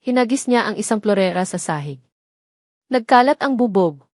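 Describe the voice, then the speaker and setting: Woman narrator, medium pitch, scripted dramatic storytelling, dry recording. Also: lively intonation, normal speed, darkish tone, no room sound.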